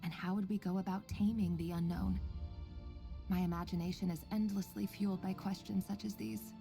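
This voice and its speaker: A woman playing an earnest, composed researcher in a video game, speaking in a measured, articulate and quietly caring way. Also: medium pitch, fairly even intonation, gravelly, over music, lightly acted. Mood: composed, formal. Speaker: voice actor in a game story quest. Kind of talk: scripted game dialogue and log narration.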